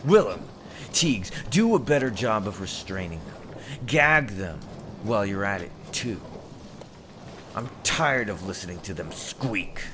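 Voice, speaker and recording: A man playing a ruthless, mocking pirate captain in a theatrical, drawn-out ASMR roleplay performance. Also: on the low side, big pitch swings, background noise. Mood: threatening, commanding. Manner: theatrical, taunting, drawn-out delivery. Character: ruthless male pirate captain, mocking, menacing, mock-gracious. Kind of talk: scripted ASMR roleplay acting.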